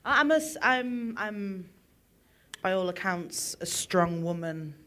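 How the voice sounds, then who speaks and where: A woman, a musician, talking openly in an interview, moving between soft, quiet moments and loud, animated ones. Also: medium pitch, takes it slowly. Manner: open, expressive, swings from soft to loud. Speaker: musician guest. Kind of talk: candid interview conversation.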